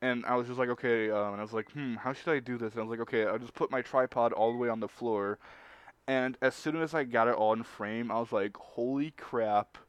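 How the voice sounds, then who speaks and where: A man's voice: medium pitch, fairly even intonation, fairly quick, smooth, no room sound. A man chatting casually and slangily on a livestream in a rambling way. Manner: slangy, rambling, chuckles often. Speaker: web video creator.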